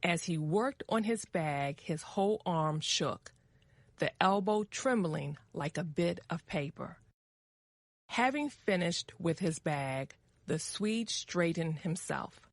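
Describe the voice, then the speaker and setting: A woman narrating a story as a dramatic reading, with clear phrasing for language learners. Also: on the low side, animated, slow pace, no echo, studio quality.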